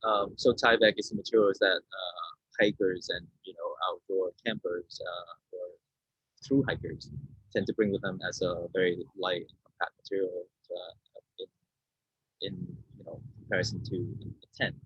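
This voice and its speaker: Husky guy in his 30s from vietnam, hesitant, feeling for words, dull video call sound. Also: deep voice, fairly even intonation, normal speed, a bit bright. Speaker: artist being interviewed. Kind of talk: informal talk about own artwork. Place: indoor room, on a video call. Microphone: video-call microphone. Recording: muffled, roomy video-call audio.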